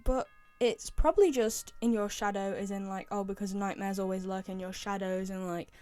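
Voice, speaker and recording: A woman's voice: mid-range voice, fairly even intonation, normal speed, a little mellow. A young woman giving casual, opinionated fan commentary as a video creator, talking in a chatty, informal way. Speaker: video creator reviewing game voice lines.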